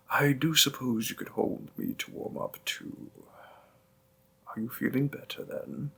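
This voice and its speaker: A man playing a gentle, doting demon boyfriend in an intimate audio roleplay, speaking tenderly in a hushed, husky, creaky voice. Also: mid-range voice, steady tone, full character voice. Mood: tender, reassuring. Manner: slow, hushed, tender, with pauses.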